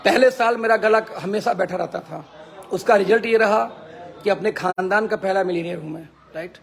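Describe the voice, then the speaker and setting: Hoarse, gravelly high-voiced man. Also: moderate pace, some chatter behind. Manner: emphatic, repeats phrases for effect. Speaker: network marketing team leader. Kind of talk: motivational Facebook live talk.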